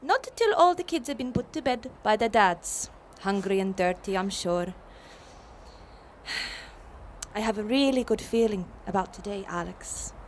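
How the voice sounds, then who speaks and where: Actress as warm 1970s women's activist, resolute and emphatic, lively melody, radio play with noisy ambience. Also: female voice, medium pitch, a little slow, close to an everyday voice. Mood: hopeful. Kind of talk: radio drama acting.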